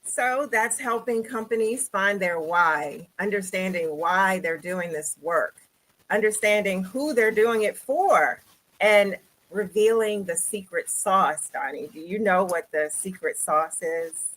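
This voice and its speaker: A cheerful woman from Baltimore chatting as an interview guest, quick to chuckle. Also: medium pitch, a bit bright, rough recording. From Baltimore, United States. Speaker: strategy consultant, show guest. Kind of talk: conversational interview.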